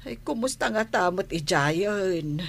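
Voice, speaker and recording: A worried mother in an old radio drama. Her mid-pitched voice moves slowly, fretful and sighing with care. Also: lively intonation, gravelly, exaggerated voice. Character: anxious, grieving mother worrying over her absent child. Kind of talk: Philippine radio drama acting.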